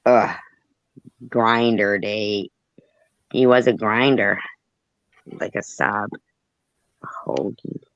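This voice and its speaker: A man's voice: fairly low pitch, fairly even intonation, drawn-out words, long pauses, a bit bright, dry recording, lo-fi sound. A man hosting a casual chat who rambles, trails off and mutters asides, then reads news reports aloud. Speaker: host. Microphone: phone.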